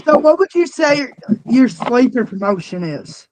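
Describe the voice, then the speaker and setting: High-voiced, foul-mouthed young man. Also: very animated, background noise. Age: 20s. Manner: profane and blunt, quick to rant. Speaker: podcast co-host. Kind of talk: casual interview chat.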